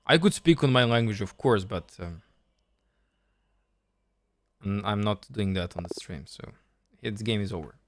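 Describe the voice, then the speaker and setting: A man's voice: lowish voice, expressive, a little slow, long pauses, no room sound. A man from Serbia with a Serbian accent, thinking aloud and explaining step by step as he coaches on a live chess stream.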